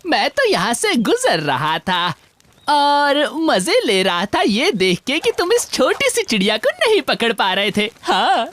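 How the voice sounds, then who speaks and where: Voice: mid-range voice, huge pitch swings, unhurried, fairly bright tone. An actor voicing a sly, scheming male animal villain in a cartoon, mocking and boastful in a theatrical way. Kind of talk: Hindi cartoon dub acting.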